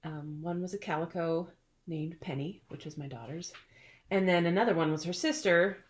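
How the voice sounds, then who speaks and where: Woman, middle-aged, host, chatty, playful, laughs often, somewhat low voice. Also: moderate pace. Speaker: podcast host. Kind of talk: casual chat and storytelling.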